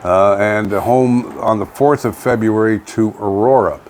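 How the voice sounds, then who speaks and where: A man's voice: fairly low pitch, a little slow, a little mellow, a little hiss or hum. A man with a Canadian accent co-hosting a local online radio show in a casual, chatty style. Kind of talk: casual community chat. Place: home studio.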